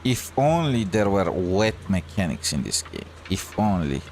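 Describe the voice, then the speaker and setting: Low-voiced man commenting on gameplay. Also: very animated, darkish tone, slight background noise. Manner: hesitant, halting, joking. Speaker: gaming streamer.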